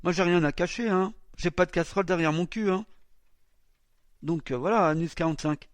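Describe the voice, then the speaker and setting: A man's voice: high-pitched, brisk, no echo. A man hosting a small web radio show, talking in a mocking, defiant way with many hesitations. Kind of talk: commenting on recorded CB radio chatter.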